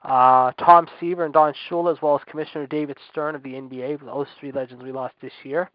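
A man's voice: fairly high pitch, fairly even intonation, quick pace, dry recording. A man in his forties hosting a casual radio show, repeating stock phrases and addressing listeners like an emphatic announcer. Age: 40s. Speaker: host. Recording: dull lo-fi internet radio audio.

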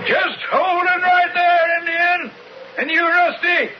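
An actor playing a cruel, blustering, domineering rancher boss in a radio drama western. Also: male voice, extremely high pitch, fairly even intonation, takes it slowly, music in the background. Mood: menacing. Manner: barking, domineering. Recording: noisy muffled old radio broadcast.

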